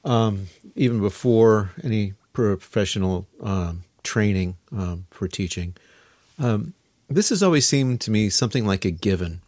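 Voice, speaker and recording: A man's voice: lowish voice, lively intonation, a little slow, a little mellow, no echo, clean studio sound. A man hosting a casual podcast conversation, talking loosely with many fillers and restarts. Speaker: podcast host.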